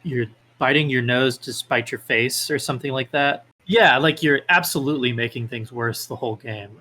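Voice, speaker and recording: A man's voice: mid-range voice, moderate pace, sharp tone. A man being interviewed as a guest, talking casually with hesitant phrasing and lots of filler words. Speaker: podcast guest, independent game developer.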